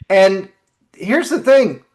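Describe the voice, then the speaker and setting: A man hosting a podcast in casual discussion, speaking in a halting way and repeating phrases as he goes. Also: high-pitched, animated, darkish tone. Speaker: podcast host.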